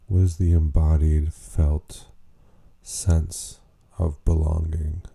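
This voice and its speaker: A man leading a guided meditation, speaking in an unhurried, gently questioning way with long pauses. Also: extremely low pitch, flat delivery, creaky, breathy edge. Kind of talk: slow spoken guided meditation.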